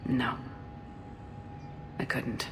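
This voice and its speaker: An actress playing a steady, frank military commander in a video game, direct and composed with dry teasing. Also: female voice, very slow, a little hiss or hum. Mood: concerned. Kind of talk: conversational video game acting.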